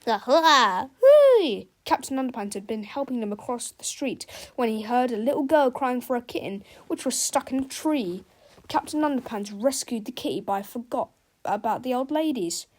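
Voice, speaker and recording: A woman's voice: on the high side, normal speed, a little mellow. A woman narrating a children's storybook as a read-aloud.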